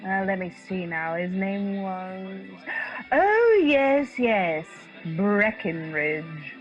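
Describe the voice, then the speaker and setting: Woman playing unhurried, chummy tavern landlady. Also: medium pitch, expressive, dull audio, faint music behind. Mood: jolly, chummy. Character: cheery, chummy female tavern landlady, flirtatious and jolly. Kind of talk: radio drama acting.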